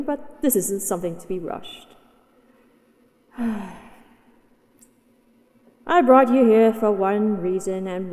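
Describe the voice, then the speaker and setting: A woman's voice: fairly high pitch, warm tone, big-room sound. A woman voicing a possessive, haughty dragoness in a scripted roleplay audio, speaking with condescending, teasing command. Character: possessive, haughty, mighty female dragon captor.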